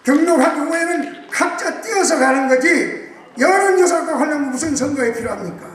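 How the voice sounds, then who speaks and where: A man giving a rousing congratulatory speech to an audience, shouting his points emphatically. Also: very high voice, normal speed, noisy background. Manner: emphatic, shouting slogans to the crowd. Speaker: guest speaker. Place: large banquet hall with audience. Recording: very echoey hall, distant mic, crowd behind.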